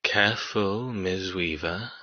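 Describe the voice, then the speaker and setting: A man's voice: low voice, takes it slowly, no echo, lo-fi sound. An actor playing a cold, cruel male captor who taunts with clipped, controlled, sneering menace in audiobook dialogue. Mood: cold, warning.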